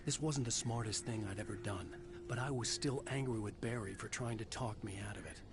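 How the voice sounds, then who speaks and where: An actor playing a troubled novelist in his thirties who narrates in a low, clipped, brooding noir manner in a video game. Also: brisk, natural voice. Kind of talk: noir narration and cutscene dialogue. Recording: game audio with music under it.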